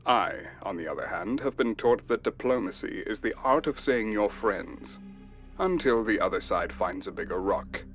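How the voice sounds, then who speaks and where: A man plays a smug, ruthless space navy officer. His voice sits fairly low, and he talks with deliberate, sardonic courtesy. Music plays faintly under this science fiction audio drama. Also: lively intonation, a bit bright.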